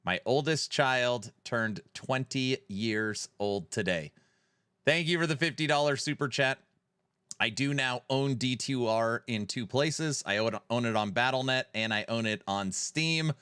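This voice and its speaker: A man's voice: mid-range voice, unhurried, a bit bright, clean tone, dry recording, clean studio sound. A man in his forties hosting a casual live stream, chatting and reading viewer messages aloud. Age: 40s. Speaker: streamer host.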